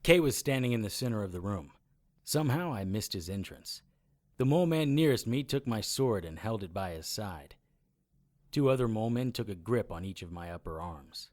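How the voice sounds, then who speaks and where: A man's voice: lowish voice, animated, moderate pace, a little mellow, natural voice. A man playing a tough, defiant private investigator who hunts monsters, narrating an audio drama in a matter-of-fact, hard-boiled way. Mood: determined.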